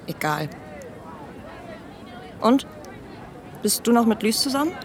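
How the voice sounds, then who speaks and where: A woman's voice: a little high, sing-song, slow pace. A woman playing a forward, casual teenage girl in a radio drama. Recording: noisy outdoor crowd ambience behind.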